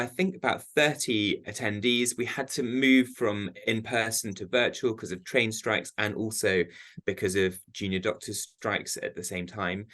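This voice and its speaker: A man's voice: fairly low pitch, fairly bright tone. A man giving an update as an interest group organiser, chatty and full of ums. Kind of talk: meeting update. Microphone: computer mic on a video call.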